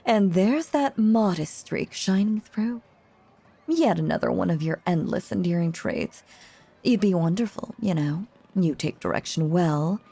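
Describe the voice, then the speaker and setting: A woman playing a seductive, possessively doting female stage magician in an intimate audio roleplay, theatrical and teasing with a soft, breathy voice. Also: lowish voice. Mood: doting, flattering. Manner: theatrical, flirtatious, silky and teasing.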